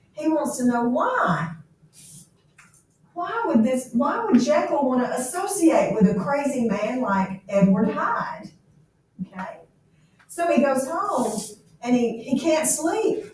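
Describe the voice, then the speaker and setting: A woman reads a classic story aloud at a slow pace, breaking off to explain it in plain words like a teacher. She sounds distant from the microphone, in a strongly echoing room. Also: on the high side, a little mellow. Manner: explanatory, checking in with "okay?". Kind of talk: read-aloud of a classic novel with explanatory commentary. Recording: echoey room, distant mic, faint noise.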